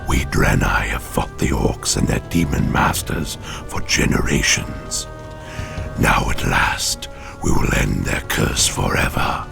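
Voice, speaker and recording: An ancient, vengeful alien elder with an extraordinarily deep voice. His delivery is slow, solemn and weary, in a gravelly fantasy game style over music. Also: expressive, exaggerated voice. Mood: solemn resolve. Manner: solemn, deliberate. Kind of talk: dramatic game cutscene acting.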